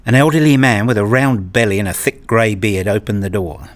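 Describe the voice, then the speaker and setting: A man's voice: fairly low pitch, fairly quick, natural voice. A man playing an earnest married father and hobbyist, narrating and acting his scripted story in a radio play. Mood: descriptive. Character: eager married father and hobbyist, a little rueful. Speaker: narrator and main character.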